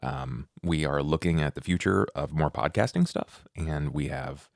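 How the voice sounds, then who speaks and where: Gravelly low man with a very lively delivery. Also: normal speed, dry recording, clean studio sound. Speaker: player and co-host of an actual-play podcast. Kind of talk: casual chat.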